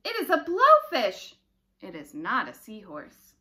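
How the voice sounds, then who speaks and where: A young woman reading a picture book aloud to small children in an expressive, dramatic way. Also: fairly high pitch, unhurried, a little hiss or hum. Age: young adult. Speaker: children's story time reader. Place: living room indoors, by a brick fireplace.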